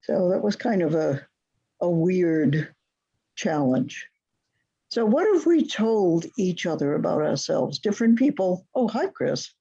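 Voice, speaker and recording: A teacher leading a conversation class, speaking in a slow, deliberate way with long pauses, over a video call. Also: mid-range voice, sing-song, gravelly, mellow, husky, dull audio.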